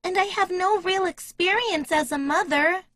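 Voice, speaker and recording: A woman playing a polite, earnest, motherly little girl in a children's animated story. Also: very high voice, moderate pace, no echo, clean studio sound. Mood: motherly, eager.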